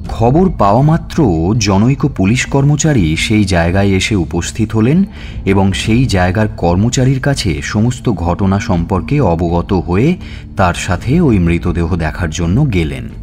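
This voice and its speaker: A man narrating a scripted suspense story in a measured, expressive, dramatic way. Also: sits low. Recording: music bed under narration.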